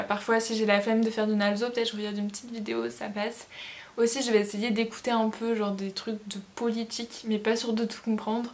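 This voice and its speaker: A young woman talking casually to her viewers, her voice sitting a little high. She is chatty and self-mocking, quick to laugh at herself. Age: young adult. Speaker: vlogger. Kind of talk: casual study-with-me vlog chat.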